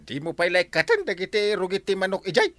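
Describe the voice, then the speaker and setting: An actor with an Ilocano Filipino accent playing a blustering, scolding village captain in a radio comedy drama, full of exclamations. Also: male voice, high voice, huge pitch swings, brisk, a bit bright, dry recording, exaggerated voice.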